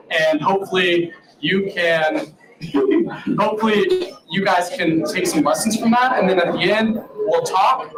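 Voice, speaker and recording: A man casually introducing a guest speaker at an event, with friendly, filler-laden talk. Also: a little high. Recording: echoey room, distant mic, crowd behind.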